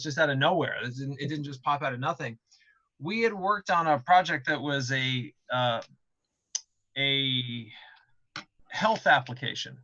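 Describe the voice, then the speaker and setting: A man's voice: mid-range voice, sharp tone, muffled recording. A man speaking casually as a game developer on a panel, thinking aloud and illustrating his points with quoted asides. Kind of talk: casual explanatory panel talk.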